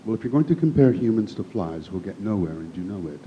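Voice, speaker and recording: A playful, fastidious male god who sounds wry and curious, with a low voice, as a man reads him aloud. The recording is dull and carries a faint rumble. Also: expressive.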